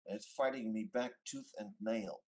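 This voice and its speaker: A man's voice: on the low side, steady tone, measured pace, a little mellow, dry recording. A man playing an online tabletop game, chatting casually and muttering to himself as he works.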